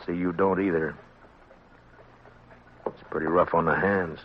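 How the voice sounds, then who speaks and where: A man's voice: sits low, steady tone, unhurried, slight background noise. An American man acting a stern, laconic frontier marshal in a 1950s radio western, with dry, clipped authority. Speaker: lead actor and narrator. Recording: dull vintage radio recording with music.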